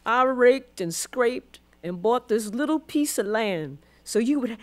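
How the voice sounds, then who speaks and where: Slow-speaking, weary old Southern grandmother. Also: a little high, animated. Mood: tender, pleading. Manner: solemn, emotive, deliberate. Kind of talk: radio drama monologue, dialect storytelling.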